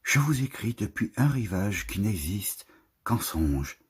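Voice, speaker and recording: A man with a husky, breathy voice reads poetry in a solemn, lyrical manner, lingering over the phrases of a literary text. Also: fairly low pitch, very animated, slow pace. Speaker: poetry reader. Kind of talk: literary poetry recitation, scripted narration.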